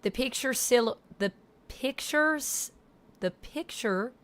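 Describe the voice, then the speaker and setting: Slow-talking woman putting on an Australian accent. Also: fairly high pitch, animated, a bit bright. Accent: put-on Australian, slipping toward New Zealand and Irish. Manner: halting, self-conscious, trying out vowel sounds and repeating words. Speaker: streamer. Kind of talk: casual livestream chat while practicing accents.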